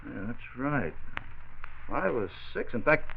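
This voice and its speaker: An actor playing an earnest, reasonable factory deputy in a 1940s radio drama, eager, helpful and matter-of-fact. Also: male voice, medium pitch, big pitch swings, slow, gravelly, slight background noise, heavily acted. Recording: tinny, narrow-band 1940s radio recording.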